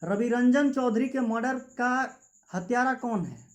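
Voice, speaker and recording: A man's voice: very high voice, a little slow. A young man with a Jharkhand Hindi accent giving an impassioned political speech as a student activist, with repetitive rhetorical phrasing. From Jharkhand, India. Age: young adult.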